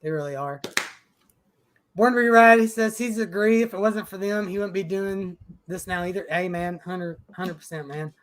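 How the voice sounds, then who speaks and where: A man's voice: high-pitched, normal speed, a bit bright. A man hosting a casual podcast and reading out live chat, emphatic and profane, with frequent restarts.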